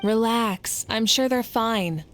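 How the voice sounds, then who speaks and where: Assured, reassuring warrior leader. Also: female voice, a little high, slow pace, a bit bright. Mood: reassuring, amused. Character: confident, warm-hearted adult female warrior leader. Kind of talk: video game character acting. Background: background music.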